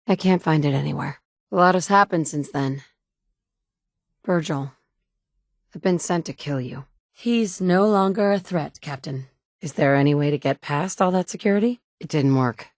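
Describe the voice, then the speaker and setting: An actress voicing the player heroine of a wasteland game, delivering short, clipped, matter-of-fact lines. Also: female voice, lowish voice, a little mellow, no room sound, studio quality. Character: woman survivor, player hero of a wasteland game. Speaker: player character.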